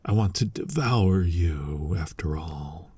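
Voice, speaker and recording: A man playing a flirty, dominant incubus demon in an intimate ASMR roleplay, teasing the listener in a husky, breathy voice that often drops to a whisper. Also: low voice, expressive, warm tone, full character voice. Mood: predatory, teasing. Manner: slow, sultry, teasing, addressing listener directly. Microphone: close mic, dry.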